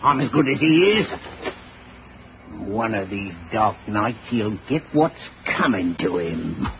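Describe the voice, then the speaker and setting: Radio play deckhand: insubordinate, sullen, resentful. Dull, noisy old recording. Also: male voice, fairly low pitch, sing-song, takes it slowly, full character voice. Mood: resentful, menacing. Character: surly, resentful deckhand, handy with a knife. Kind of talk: 1940s radio detective drama acting.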